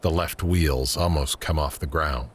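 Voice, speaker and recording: A man's voice: a bass voice, fairly even intonation, unhurried, slight background noise. A man narrating an audio drama, reading scripted scene descriptions in the present tense.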